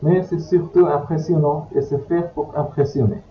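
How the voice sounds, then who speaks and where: A man's voice: on the high side, steady tone, moderate pace, a little hiss or hum. A man teaching a language by reading short passages aloud, then repeating them word by word. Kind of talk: slow read-aloud lesson for learners. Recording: echoey, dull lo-fi recording.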